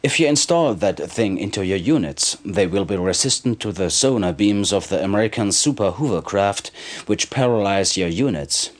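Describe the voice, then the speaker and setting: A man playing a sly, low-voiced arms dealer. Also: lively intonation, moderate pace, lightly acted. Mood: smug, jovial. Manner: smug, chuckling salesman patter. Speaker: game character actor. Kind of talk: video game cutscene acting.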